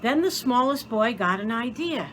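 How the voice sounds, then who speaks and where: A woman reading a children's story aloud in an expressive, theatrical way, with deliberate dramatic pauses. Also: fairly high pitch, slight background noise. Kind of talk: expressive scripted story narration.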